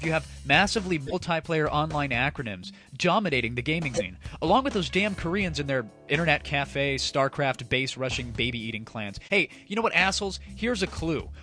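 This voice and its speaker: A man's voice: on the high side, pushed and bright. A man hosting an online gaming comedy show, delivering a scripted, crude and sarcastic rant in a theatrical, mock-grandiose way. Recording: music under the voice. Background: music.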